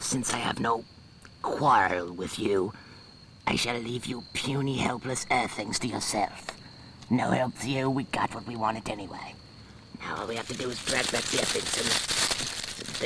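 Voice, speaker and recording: A man's voice: mid-range voice, moderate pace, sharp tone, close to an everyday voice. A man playing a haughty alien bounty hunter in an amateur audio drama, pompous and declamatory. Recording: homemade tape with noisy background.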